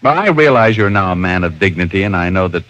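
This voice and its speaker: An actor playing a breezy, teasing private detective in old-time radio style, with sardonic delivery. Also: male voice, low voice, expressive, fast, a bit bright, dry recording. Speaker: lead actor and narrator of a detective drama. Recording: muffled old radio recording.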